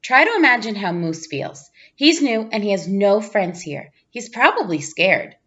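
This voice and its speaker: A woman's voice: mid-range voice, big pitch swings, measured pace, fairly bright tone, lo-fi sound. A woman reading a children's storybook, voicing a kindly, patient male owl teacher. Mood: gentle, reassuring. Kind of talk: children's storybook read-aloud.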